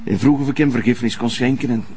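A man's voice: mid-range voice, fast, darkish tone, slight background noise, lightly acted. A man playing a touchy Flemish inventor. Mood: earnest. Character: earnest male inventor, touchy about being mocked. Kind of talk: radio play acting.

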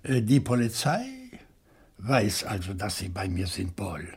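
An actor playing a grave, probing newcomer under suspicion in a radio crime play, speaking deliberately and with formal politeness. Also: male voice, low-pitched, darkish tone, breathy edge, exaggerated voice. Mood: grave, insistent.

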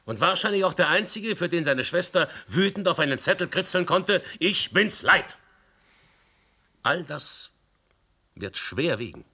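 An actor playing a blunt, incredulous police commissioner with an emphatic, probing delivery in a German radio play. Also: male voice, fairly high pitch, big pitch swings, a little slow, bright, forceful tone, slightly rough, exaggerated voice. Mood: emphatic warning.

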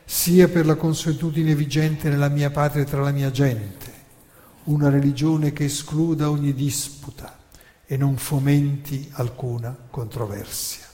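A man's voice: on the high side, steady tone, unhurried, dark tone, close to an everyday voice. A man reciting as a defiant, learned exiled philosopher in a solemn, oratorical stage monologue. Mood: solemn, resolute. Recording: long hall reverb.

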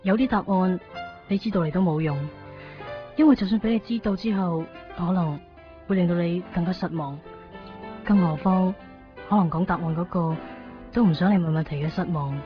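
Actress as guarded young hong kong woman in a radio play, reflective, muffled w soft music behind. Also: lowish voice, moderate pace, long pauses, close to an everyday voice. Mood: pensive, guarded. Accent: Hong Kong Cantonese. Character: reserved young woman keeping her feelings to herself. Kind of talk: radio drama inner monologue and dialogue.

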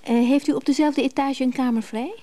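A woman's voice: high voice, fairly even intonation, a little fast, dark tone, natural voice. An actress playing a curt, imperious wealthy woman in a radio play, giving clipped orders. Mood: curt, commanding.

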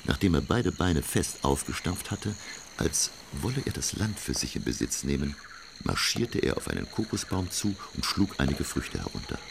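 Radio drama narrator: reflective professor, gravelly bass, animated intonation, literary delivery. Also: male voice, noisy background, heavily acted. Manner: literary, measured. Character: reflective, refined male professor recounting his adventure. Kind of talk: scripted first-person narration, German radio drama.

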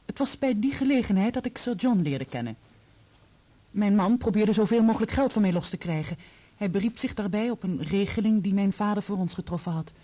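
An actress in a Dutch radio play playing a composed, candid divorced woman who speaks in a measured, probing way. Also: medium pitch, animated, mellow, close to an everyday voice. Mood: candid, reflective.

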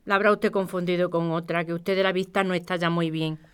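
A woman's voice: fairly low pitch, fairly even intonation, brisk, clear, resonant tone, lightly acted. An actress with a Spain accent playing a caring but exasperated working woman, speaking colloquially and expressively. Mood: concerned, placating. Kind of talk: audio fiction podcast acting.

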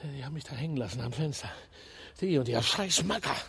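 Sneering young thug, raspy, swings high, big reverb, radio play. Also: male voice, animated, fast talker, a bit bright. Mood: taunting, aggressive. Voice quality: raspy, creaky. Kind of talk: radio drama acting. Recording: long hollow reverb.

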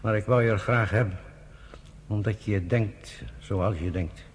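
An actor in a radio play, playing a pragmatic, avuncular senior British official with a husky, urbane, confiding manner. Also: male voice, on the low side, a little slow, slight background noise, natural voice. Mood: wry, persuasive. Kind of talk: Dutch radio play acting.

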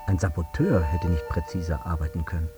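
An actor playing a guilt-ridden, bitterly self-justifying air traffic controller in a radio drama monologue, brooding and intense in clipped sentences. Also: male voice, sits low, big pitch swings, a little fast, over music.

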